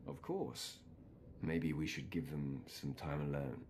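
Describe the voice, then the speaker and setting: A man's voice: a bass voice, sing-song, measured pace, darkish tone, heavily acted. An actor playing a stoic, composed male Sith warrior in a video game. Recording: game audio with faint background noise.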